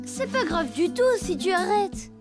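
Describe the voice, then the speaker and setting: A small cartoon elephant child. The voice is extremely high, childlike and blunt, stressing key words. Music sits clearly underneath. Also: female voice, very animated, a little slow, heavily acted. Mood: disgusted. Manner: childlike, emphatic. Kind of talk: French children's cartoon voice acting.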